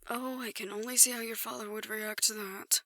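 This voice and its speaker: Lady playing calm motherly-cautious confidante, highish bright voice, super dry close mic, audio drama. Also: a little fast, no room sound. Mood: wry, cautioning. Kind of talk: audio roleplay acting.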